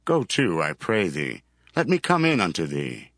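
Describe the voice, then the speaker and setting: An actor playing a solemn, commanding Hebrew patriarch in a scripture audio drama, with archaic phrasing. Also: male voice, low-pitched, huge pitch swings, moderate pace, fairly bright tone, dry recording, studio quality, heavily acted. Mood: coaxing.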